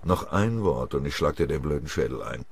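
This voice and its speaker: A man voicing a rough, blunt soldier who threatens a comrade and then gruffly makes peace. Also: very deep voice, lively intonation, quick pace, heavily acted. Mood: threatening. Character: rough, blunt male soldier with an iron bar.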